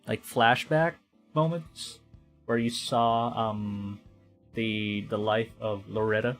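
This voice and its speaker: A man's voice: fairly low pitch, fairly even intonation, takes it slowly, soft background music. A young man from the Philippines chatting casually while streaming a game, rambling and correcting himself as he goes. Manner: rambling, self-correcting, quietly talking to himself. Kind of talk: casual gameplay commentary.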